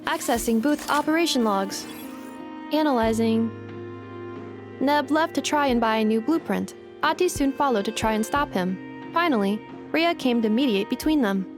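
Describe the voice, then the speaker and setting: High-voiced, clinical robot assistant, acted by a woman. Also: normal speed, over music, lightly acted. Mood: analytical. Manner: clinical, deadpan. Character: precise, emotionless female robot assistant. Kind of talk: English video game voice acting.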